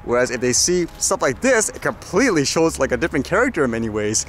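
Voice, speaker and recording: A man's voice: mid-range voice, sing-song, quick pace, slight background noise. A man giving casual, off-the-cuff commentary in a rambling, conversational way, as a vlogger would.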